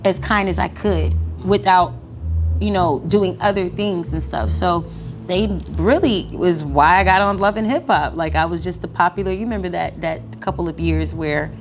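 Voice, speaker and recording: A young adult woman talking casually as an interview guest, animated and full of slang, laughing often. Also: lowish voice, moderate pace, faint music behind. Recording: noisy background.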